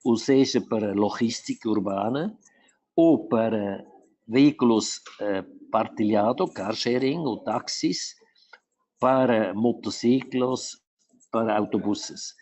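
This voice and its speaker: Halting Swiss man speaking Portuguese-tinged Spanish. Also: on the low side, darkish tone. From Switzerland. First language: not Spanish; speaks Spanish mixed with Portuguese. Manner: halting, many restarts and fillers, mixes in Portuguese words. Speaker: urban planner and mobility specialist, conference speaker. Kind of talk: remote conference presentation.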